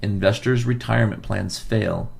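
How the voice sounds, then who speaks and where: A man's voice: fairly low pitch, normal speed, gravelly, darkish tone. A man hosting a financial advice podcast, losing his voice, who talks earnestly and repeats key points for emphasis. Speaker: financial advisor and podcast host.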